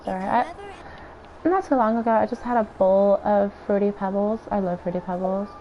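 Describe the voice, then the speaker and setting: Hoarse woman streamer rambling over gameplay. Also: mid-range voice, moderate pace. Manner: rambling, chatty, thinking aloud. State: hoarse, raspy voice. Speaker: game streamer. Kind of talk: casual gameplay commentary. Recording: dull lo-fi stream audio with faint noise.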